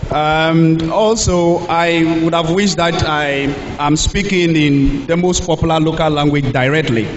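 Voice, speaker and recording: A man with a Ghanaian English accent giving a health talk in a church, speaking in short phrases and pausing for an interpreter. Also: fairly high pitch, fairly even intonation. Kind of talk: public talk through an interpreter. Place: church hall. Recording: echoey hall, dull lo-fi sound, faint hiss.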